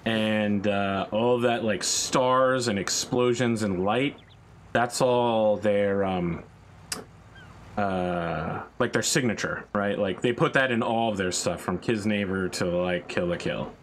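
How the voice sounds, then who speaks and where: A man chatting casually on a gaming stream, eager to explain and quick to swear. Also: fairly low pitch, a little hiss or hum. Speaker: streamer and co-host. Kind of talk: casual chat while gaming.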